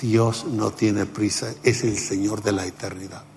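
Elderly male preacher, deep and deliberate, in a reverberant hall with faint music. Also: steady tone, darkish tone. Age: 70s. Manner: unhurried, emphatic, laughs at himself. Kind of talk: sermon.

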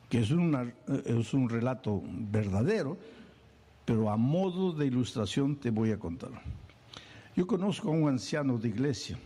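A man preaching a sermon, animated, acting out little scenes and firing off questions. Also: mid-range voice, slight background noise.

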